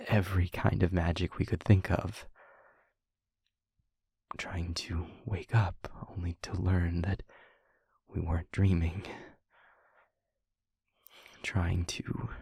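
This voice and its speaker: A low-voiced, weary male demon, acted by a man in an intimate audio roleplay. He confides in a breathy half-whisper, slowly and haltingly, with earnest care. The sound is close and dry. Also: a little mellow, no echo, full character voice. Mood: somber, resigned. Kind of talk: scripted audio roleplay, intimate monologue to listener. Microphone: close microphone, dry.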